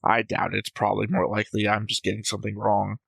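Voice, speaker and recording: Bright-voiced man reviewing his notes. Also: fairly low pitch, completely flat delivery, fast talker, vocal fry, slightly rough, no echo, clean studio sound. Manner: analytical, jokey, acts out quotes. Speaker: reaction show co-host. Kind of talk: casual discussion reading notes.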